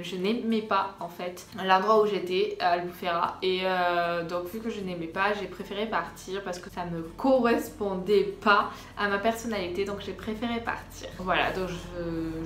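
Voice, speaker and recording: A young woman from France, chatting casually to her viewers in a hesitant storytime style, repeating words for emphasis. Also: medium pitch. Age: young adult. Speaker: vlogger. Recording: echoey room with faint background music.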